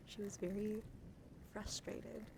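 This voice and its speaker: Creaky soft-spoken woman doing ASMR, noisy background. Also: mid-range voice, level tone, slow pace. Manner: soft-spoken, often drops into whispering; gentle, soothing, coaxing. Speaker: live streamer host. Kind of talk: ASMR personal attention livestream. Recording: noisy live stream with clear background noise. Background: background noise, dog outside.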